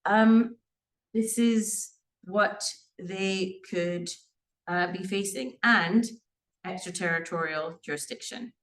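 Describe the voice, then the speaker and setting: A woman's voice: mid-range voice, slow, far from the mic. A woman in her forties, born in Sri Lanka, speaking as a criminal barrister at a seminar, unscripted, with many fillers and restarts. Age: 40s. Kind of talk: seminar talk. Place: seminar room.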